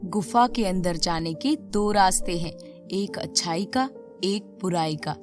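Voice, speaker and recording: A woman voicing a solemn magical sorceress in an animated children's moral story, measured in delivery. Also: fairly low pitch, music in the background, lightly acted. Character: magical sorceress offering a choice of paths.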